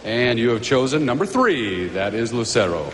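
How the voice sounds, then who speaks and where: A man hosting a pageant broadcast, introducing contestants and asking questions in a lively way. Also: mid-range voice, normal speed, over music. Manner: lively, animated announcing. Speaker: pageant host.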